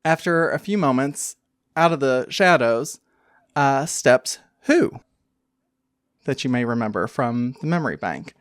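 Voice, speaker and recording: A woman runs a tabletop game as game master. She describes scenes in a conversational, halting way, in a dry, close recording. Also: very deep voice, lively intonation, dry recording. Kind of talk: improvised tabletop game narration.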